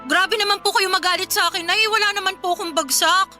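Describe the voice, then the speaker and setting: A woman's voice: very high-pitched, fairly even intonation, fast, sharp tone, faint music behind, exaggerated voice. A woman playing a timid, dutiful teenage daughter in a Filipino radio drama, speaking politely and hesitantly. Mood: hurt, protesting. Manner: hesitant, stammering, polite and pleading.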